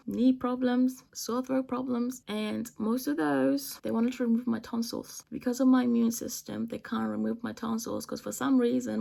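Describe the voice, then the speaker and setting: A hoarse woman in her late twenties, her voice gravelly and fraying from a cold. She speaks a little high and talks earnestly and openly to her viewers, doubling back on words to stress them. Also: darkish tone. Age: 20s. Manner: earnest, heartfelt, repeats phrases for emphasis. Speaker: vlogger. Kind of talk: casual personal vlog talk.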